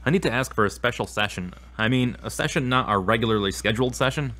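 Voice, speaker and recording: A man's voice: mid-range voice, fast talker, pushed and bright, lightly acted. A man playing a troubled, self-blaming young man in an audio drama, speaking hesitantly and remorsefully. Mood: anxious, downcast.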